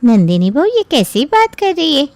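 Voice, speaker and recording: A woman's voice: sits high, sing-song, moderate pace, a little mellow, dry recording. A woman playing a blunt, reproachful middle-aged neighbourhood auntie in a cartoon story. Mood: scornful. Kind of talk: cartoon voice acting.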